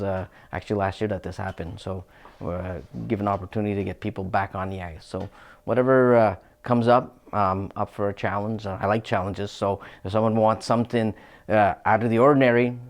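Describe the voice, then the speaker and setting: A middle-aged man speaks in a lowish voice. He answers interview questions in a relaxed, unhurried way, pausing on fillers and restarting his sentences as he explains his craft. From Canada. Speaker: hockey equipment technician.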